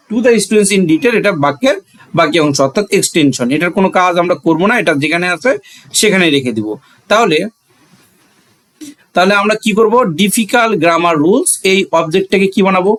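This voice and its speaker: A man's voice: sits high, a bit bright. A man with a Bangladeshi accent teaching a grammar lesson, asking questions and answering them himself, repeating phrases for emphasis.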